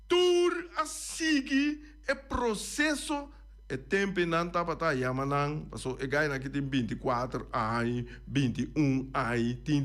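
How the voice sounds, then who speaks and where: A man with a high voice talks slowly and deliberately as a radio host. He drives his points home with repetition, running through lists item by item. The sound is a close studio microphone. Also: fairly bright tone. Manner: emphatic, repeats and lists points. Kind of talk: radio talk commentary.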